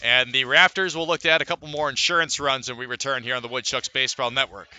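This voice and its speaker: Bright-voiced man calling baseball play-by-play. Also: mid-range voice, steady tone, fast, a little hiss or hum. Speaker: baseball play-by-play radio announcer. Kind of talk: live sports commentary.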